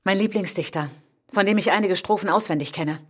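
Schauspielerin als stolze, verletzte junge Frau, leicht rau. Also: female voice, medium pitch, breathy edge, lightly acted. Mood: intense, lyrical. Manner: intense, deliberate, sometimes dropping to a whisper. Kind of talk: literary radio drama monologue.

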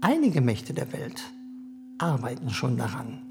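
Cynical privy councillor, condescending, pitch swooping up and down. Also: male voice, very animated, unhurried. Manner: smooth, condescending, hesitant fillers. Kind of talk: radio play acting.

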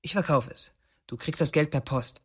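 Taunting, domineering young criminal. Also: male voice, a little high, moderate pace, slightly rough. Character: menacing young male drug-scene rival seizing power. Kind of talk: radio play acting.

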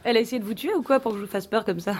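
A woman's voice: fairly high pitch, very fast, a little mellow, slight background noise, close to an everyday voice. A woman playing a nosy, teasing police colleague in a comic audio drama, casual and chatty. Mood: curious, teasing.

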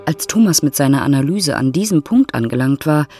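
Literary narrator over clear music. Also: mid-range voice, animated, fairly quick, warm tone.

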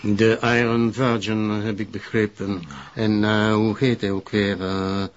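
Muffled, formal Flemish actor as a public prosecutor. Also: male voice, lowish voice, little pitch movement, a little slow, lightly acted. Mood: deliberate. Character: male public prosecutor, formal, deliberate, self-assured. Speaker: actor in a police drama. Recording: muffled, lo-fi drama soundtrack.

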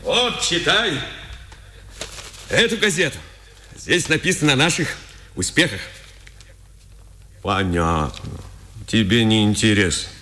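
Male Nazi secret-police officer: slow, swinging delivery, mocking and smug, 1950s radio drama. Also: medium pitch, lively intonation, pushed and bright, soft background music. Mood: taunting, irritated. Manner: theatrical, taunting, commanding. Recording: 1950s radio recording, faint noise.

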